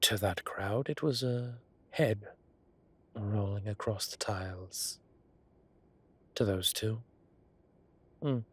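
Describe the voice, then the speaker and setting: Guy doing an ancient god type for roleplay audio, deepish breathy voice, slow gossipy drawl, teasing old romantic. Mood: musing, tender. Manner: theatrical, drawling, gossipy and indulgent. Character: ancient male godlike being, teasing old romantic softy.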